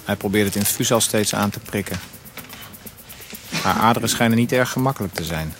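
A man's voice: fairly low pitch, brisk, music in the background, lightly acted. An actor playing a young husband of a cancer patient, narrating his bitter, sarcastic inner thoughts in a radio play. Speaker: narrator and lead character. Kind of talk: Dutch radio drama.

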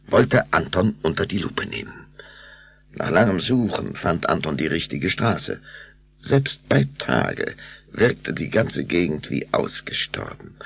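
A man's voice: lowish voice, gravelly, husky. A man narrating a children's radio play as a theatrical, suspenseful storyteller. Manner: suspenseful, theatrical, shifting to whispers.

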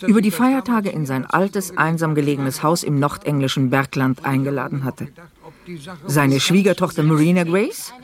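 An actress playing a middle-aged, unmarried forensic pathologist who narrates a radio mystery in a measured, articulate way. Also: female voice, deep voice. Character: unmarried female forensic pathologist, dry-humoured colonel's sister narrating. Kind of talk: scripted first-person radio play narration.

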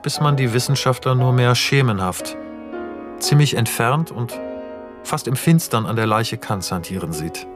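A man narrating screenplay directions in a radio drama, in a measured, matter-of-fact way. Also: medium pitch. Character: sober narrator reading out screenplay scene directions.

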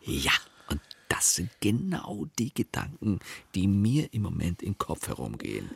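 An actor playing a wry husband who tells the story in the first person in a radio play, confiding and intimate. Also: male voice, sits low, wildly swooping pitch, measured pace, gravelly, a little mellow, breathy edge, exaggerated voice. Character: wry secular husband narrating an evening with friends. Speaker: narrator and character in a radio play.

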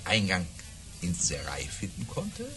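An actor playing a boastful baron who tells tall tales with theatrical relish in a German audio drama. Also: male voice, deep voice, wildly swooping pitch, measured pace, noisy background, full character voice. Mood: confiding.

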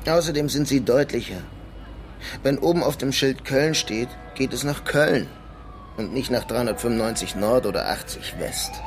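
An actor playing a melancholy young German emigrant painter in New York, understated and wry, in a radio drama. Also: male voice, mid-range voice, moderate pace, a little hiss or hum, natural voice. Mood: laconic. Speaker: protagonist and narrator of a radio crime drama. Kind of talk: German radio drama, introspective narration and dialogue.

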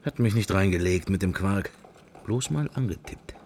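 A man's voice: sits low, expressive, moderate pace, darkish tone, a little hiss or hum. An actor playing a man's wandering, wry and melancholy inner thoughts in fragments, for a radio drama. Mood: wry musing. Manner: murmured, fragmentary, introspective. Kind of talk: radio drama interior monologue.

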